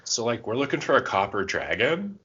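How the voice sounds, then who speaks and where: A man's voice: mid-range voice, very animated, brisk, pushed and bright, husky, highs cut off, exaggerated voice. A man voicing a laid-back California surfer dude adventurer for a tabletop game, drawling enthusiastic slang. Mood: mellow, friendly.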